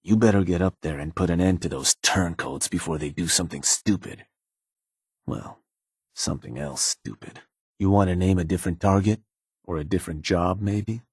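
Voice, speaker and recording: An actor playing a sly, cynical gang advisor in a video game, with a husky, laid-back, slangy delivery and an undertone of menace. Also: male voice, normal speed, a little mellow, no room sound, studio quality. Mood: wry, explaining. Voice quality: husky, low. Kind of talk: video game character dialogue.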